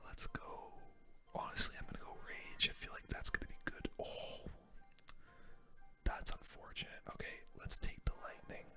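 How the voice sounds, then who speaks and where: A person whispering casual, slangy ASMR game commentary in a breathy, unvoiced voice close to the microphone. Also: normal speed, pushed and bright. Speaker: gaming video host.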